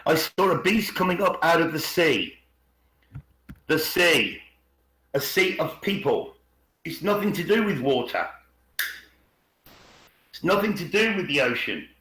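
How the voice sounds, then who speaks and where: A man's voice: fairly high pitch, sing-song, measured pace, long pauses, pushed and bright. A man giving an informal presentation with commentary over a video call, often asking rhetorical questions and repeating phrases for emphasis. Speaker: video channel host. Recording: echoey video call audio.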